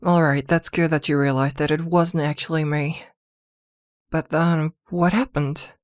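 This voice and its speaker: A possessive witch girlfriend, played by a woman in an intimate ASMR fantasy drama. Her voice sits a little low and moves slowly, with hesitant pauses. She is protective, doting and jealous, recorded very dry and close. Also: fairly even intonation, dry recording. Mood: concerned, questioning.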